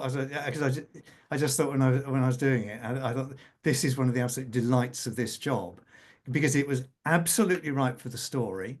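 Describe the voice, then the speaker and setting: A man speaking as a scriptwriter in an unscripted panel conversation, halting and often repeating words as he goes. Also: mid-range voice.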